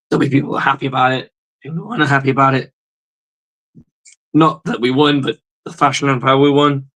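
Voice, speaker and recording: Hoarse, profane sports-show host. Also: male voice, medium pitch, fairly bright tone, poor audio. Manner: blunt, profane, rambling fan talk. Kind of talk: casual sports reaction monologue.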